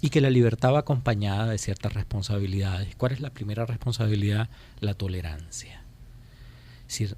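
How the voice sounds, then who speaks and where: A Venezuelan man giving political commentary as a radio interview guest, speaking emphatically and deliberately and repeating phrases to drive points home. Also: on the low side, creaky, dark tone. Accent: Venezuelan Spanish. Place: radio studio.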